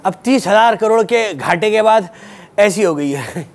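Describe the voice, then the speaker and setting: A man's voice: high-pitched, expressive, a little slow, vocal fry. A man hosting a satirical news comedy show, mocking the news playfully and chuckling at his own jokes. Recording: loud studio audience and music. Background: audience laughter and music.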